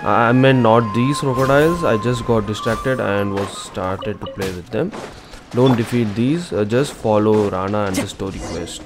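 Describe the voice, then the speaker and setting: Male gaming host, lowish pitch, informal step-by-step walkthrough delivery over prominent music. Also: moderate pace. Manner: instructional, informal. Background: game music.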